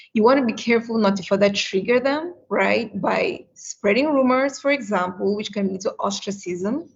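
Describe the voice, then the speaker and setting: Gravelly woman moderating a panel. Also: medium pitch, highs cut off. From Cameroon. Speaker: webinar moderator. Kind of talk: panel discussion.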